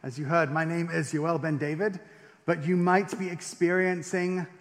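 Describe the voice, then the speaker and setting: A young man with an English accent recounts his personal story before an audience. His voice sits slightly high, and he keeps it light with wry, self-deprecating jokes and easy hesitations. Long reverb from a large hall trails his words. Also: level tone, moderate pace. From England and France. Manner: wry, self-deprecating humor, frequent ums. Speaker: speaker giving a testimony. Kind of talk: personal faith testimony to an audience.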